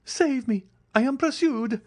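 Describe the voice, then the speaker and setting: A man doing a panicked young fugitive, pitched high. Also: expressive, moderate pace, darkish tone. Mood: pleading desperately. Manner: desperate pleading, later mocking. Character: young male fugitive, panicked then insolent.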